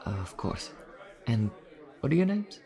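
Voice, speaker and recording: A man's voice: mid-range voice, very animated, unhurried, warm tone, soft background music, pitched above the performer's own voice. A man voicing a nervous, polite, bookish vampire in a fantasy audio roleplay, hesitant and stammering. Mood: nervous, apologetic.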